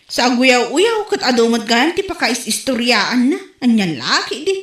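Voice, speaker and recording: A woman's voice: fairly high pitch, big pitch swings, moderate pace, a bit bright, reverberant. A woman playing a gossiping neighbor in a comedic audio drama, sounding scandalized.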